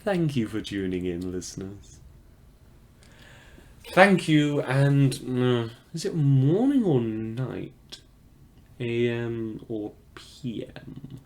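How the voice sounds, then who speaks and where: A man's voice: mid-range voice, big pitch swings, extremely slow, darkish tone, heavily acted. A man playing a wry, awkward, self-deprecating small-time radio news host in a fiction podcast set after the apocalypse. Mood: flustered. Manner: rambling, self-deprecating, dryly sardonic.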